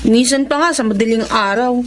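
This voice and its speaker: A woman's voice: fairly high pitch, brisk, noisy background, natural voice. A woman with a Filipino accent playing a naive, cheeky young girl, childlike and eager, in a radio drama. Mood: questioning.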